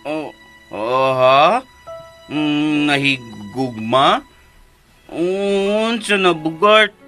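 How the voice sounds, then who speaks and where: A simple-minded grown man with a child's manner, high-pitched and bright. He stammers and drags slowly through broken phrases, earnest and slow to understand, in a radio drama over music. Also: animated, heavily acted. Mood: confused, earnest.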